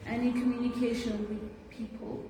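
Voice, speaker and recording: A teenage girl student giving her view in a classroom discussion, speaking haltingly with many fillers. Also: a little high, little pitch movement. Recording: echoey room from far off, clear background noise.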